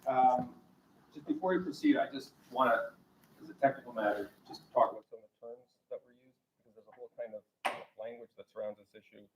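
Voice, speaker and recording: A man's voice: medium pitch, steady tone, fast, very noisy. Male panel moderator, hesitant, lots of ums, distant from the mic in a roomy hall. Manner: hesitant, many fillers. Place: lecture hall with audience.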